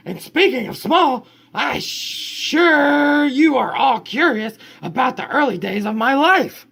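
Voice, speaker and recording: A man reading a storybook aloud and voicing a talkative female dog who never stops chatting, in a husky, high sing-song voice. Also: animated, takes it slowly, fairly bright tone, exaggerated voice. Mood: chatty. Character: chatty talking female dog, endlessly rambling. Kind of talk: reading a picture book aloud.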